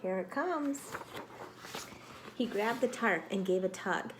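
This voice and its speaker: Expressive woman reading to children, noisy background. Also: mid-range voice, slow pace. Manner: expressive, dramatic, with exclamations and prompting questions. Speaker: teacher reading aloud to children. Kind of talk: read-aloud storytelling with questions to young listeners.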